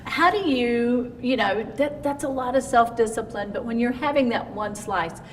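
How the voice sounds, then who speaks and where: A woman's voice: a little high, normal speed, in a large hall, distant mic, slight background noise. A woman hosting a community talk show who rambles through her questions, restarting them as she goes. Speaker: show host. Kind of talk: interview host.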